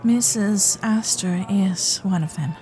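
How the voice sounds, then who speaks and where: A woman's voice: medium pitch, slow pace, dark tone, faint music behind, lightly acted, low-quality recording. A young woman playing a nervous, chatty Gilded Age heiress in a romantic audio roleplay, hesitant and genteel in manner. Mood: relieved, hushed. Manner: flustered, hesitant, babbling, genteel and formal. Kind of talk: romantic period audio roleplay, spoken intimately to listener.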